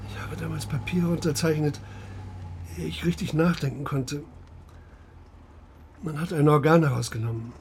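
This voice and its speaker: Actor as troubled, higher-voiced grieving father. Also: steady tone, normal speed, long pauses, slight background noise, lightly acted. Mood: regretful. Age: middle-aged. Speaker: radio drama actor. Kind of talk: naturalistic German radio drama acting.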